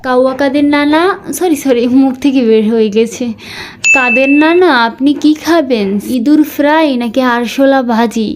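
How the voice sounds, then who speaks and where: A woman's voice: sits high, normal speed, close to an everyday voice. A woman voicing a cheeky, mischievous young grandchild in a comic cartoon skit, teasing with mock politeness and innocence. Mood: sly, teasing. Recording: noisy amateur recording with clicks.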